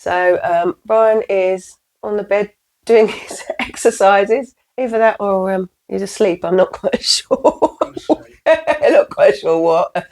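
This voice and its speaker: Woman from England, croaky with a cold, casual chatty vlog delivery, middle pitch. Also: takes it slowly, a little mellow. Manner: chatty, with fillers and light laughs. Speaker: vlogger.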